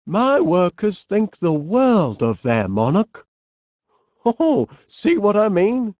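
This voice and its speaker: A man's voice: sits high, wildly swooping pitch, slow pace, a little mellow, dry recording, studio quality, heavily acted. A man playing a cheerful middle-aged eccentric inventor in a cartoon video game, with a Northern English accent and a quaintly polite, dithering manner.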